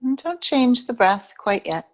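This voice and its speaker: A woman yoga teacher guiding a yoga nidra meditation in a soothing, unhurried way, leaving long pauses between phrases. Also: a little high, sing-song.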